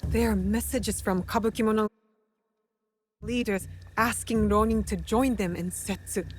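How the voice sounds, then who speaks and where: A woman playing a resolute, guarded young female warrior in a video game, speaking in a measured, serious way. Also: medium pitch, steady tone, darkish tone, slight background noise, close to an everyday voice. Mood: grim, thoughtful.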